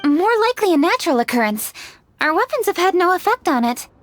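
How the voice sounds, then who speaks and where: High-pitched, composed young woman official. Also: sing-song, fast, faint music behind. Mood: composed, thoughtful. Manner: articulate, earnest, matter-of-fact. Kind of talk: scripted video game dialogue.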